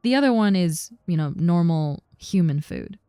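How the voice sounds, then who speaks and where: A woman as a friendly lamia roommate, mellow and close. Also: lowish voice, animated, normal speed, clean tone, no echo. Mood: casual, welcoming. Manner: casual, reassuring, teasing. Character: friendly, harmless giant snake-girl lamia roommate, young woman. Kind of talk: roleplay audio with personal attention, conversational acting.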